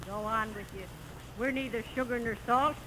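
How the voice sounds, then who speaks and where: A woman acting a quick-witted Irish wife who needles her husband with affection. Her accent is Irish and her style is 1930s radio comedy, caught on a noisy vintage recording. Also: on the high side, steady tone, close to an everyday voice. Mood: coaxing, fond.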